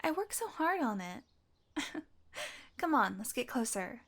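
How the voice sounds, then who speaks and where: A woman's voice: on the high side, big pitch swings, unhurried, fairly bright tone, slight background noise, exaggerated voice. A woman playing a possessive fantasy healer in an audio roleplay, teasing and condescending, coaxing the listener with sweet menace and a domineering streak. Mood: pleased, coaxing.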